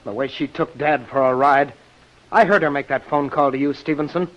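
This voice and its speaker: A man's voice: medium pitch, fairly even intonation, moderate pace, lo-fi sound, lightly acted. An American actor playing a resentful, hot-headed young man with a bitter, defiant manner in a 1950s radio detective drama. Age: young adult.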